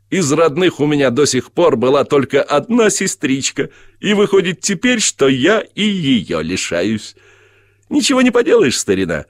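A male narrator plays a hearty traveller just back from abroad in a classic short story. He chides and teases his friend bluntly in exclamations, with the voice lifted high and swinging widely. The sound is close and dry. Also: dry recording, close to an everyday voice. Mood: hearty, teasing. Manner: exclamatory, animated, teasing. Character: loud, hearty, blunt brother back from South America, chiding his friend.

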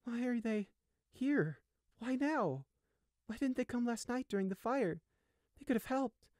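A small young male sheep for a storybook, pitched well above an adult male voice. The little brother stammers and pleads in a frightened whimper, heard close in a dry recording. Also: expressive, a little slow, darkish tone, dry recording. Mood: anguished, fearful. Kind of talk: storybook character acting.

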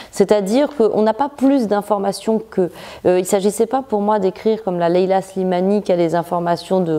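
Thoughtful Moroccan-born woman novelist, mellow voice. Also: mid-range voice. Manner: thoughtful, many restarts and filler pauses. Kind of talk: reflective interview monologue. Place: bright living room by a large window.

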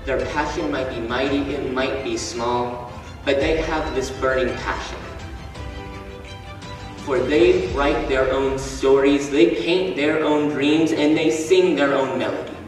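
A man reciting a spoken word poem on a school stage in a slow, measured, declamatory way. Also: mid-range voice, fairly even intonation. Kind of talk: spoken word poetry performance. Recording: echoey hall from far off, music behind.

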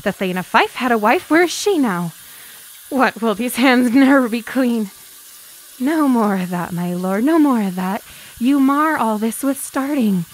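A woman's voice: fairly high pitch, expressive, measured pace, low-quality recording. An actress playing a guilt-ridden, sleepwalking noblewoman in a Shakespearean radio drama, muttering in broken fragments. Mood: haunted, muttering.

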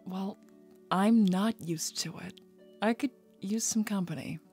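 A coy, teasing cartoon bat lady played by a woman, flirty and sassy. Her pitch is mid-range, with faint music behind. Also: a little slow, a little mellow, natural voice. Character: flirty, sassy adult female bat thief. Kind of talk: fan-made animated series voice acting.

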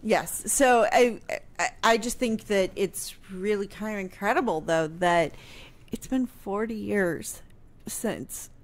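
A woman's voice: medium pitch, slow. A middle-aged American woman hosting a casual radio show, hesitant and dryly sarcastic, close on a studio microphone. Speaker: radio show host explaining history. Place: home room, indoors. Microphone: close boom studio microphone with headphones.

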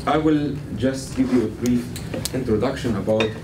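A middle-aged man, giving a guest lecture to a college class, measured and full of fillers. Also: mid-range voice, noisy background. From Palestine. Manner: measured, many fillers, gestures with both hands. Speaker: guest speaker. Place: classroom with a blackboard. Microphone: far camera. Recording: echoey classroom from far off.

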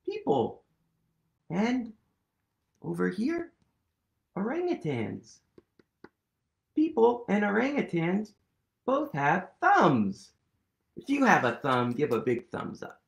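Animated man reading aloud to children. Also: high voice, takes it slowly, gravelly, pauses often. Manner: animated, playful, leads kids in actions. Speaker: storytime reader. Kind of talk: children's picture book read-aloud. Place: small room indoors.

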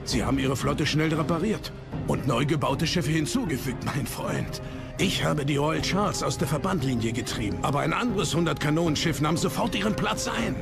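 A man's voice: a little high, lively intonation, normal speed, vocal fry, over music. A man playing a stern, aggrieved admiral in a dubbed docudrama, speaking formally and insistently. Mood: grave, frustrated. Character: stern, aggrieved Dutch admiral, seasoned naval commander.

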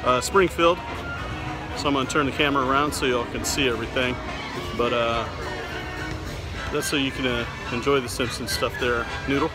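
Laid-back man vlogging over loud music. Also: mid-range voice, fairly even intonation, normal speed, long pauses. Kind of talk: casual vlog commentary. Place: busy theme park, streets and dim shops. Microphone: handheld camera at arm's length.

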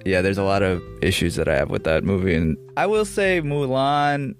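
Low-voiced man chatting about films. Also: lively intonation, normal speed, soft background music. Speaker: podcast host. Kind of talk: casual film discussion.

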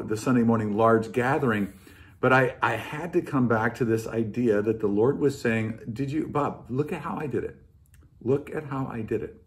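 An American man in his sixties, a pastor, talking informally to camera in an earnest, reflective way and repeating phrases for emphasis. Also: mid-range voice. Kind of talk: informal devotional talk to camera. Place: living room at home, indoors.

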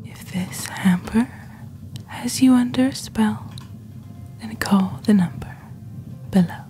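A young woman speaking softly and breathily in a scripted ASMR roleplay, slow, deliberate and eerily formal, as the host of a shopping channel. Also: medium pitch, soft background music. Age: young adult. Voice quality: soft, breathy, whispery. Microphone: close studio microphone on desk.

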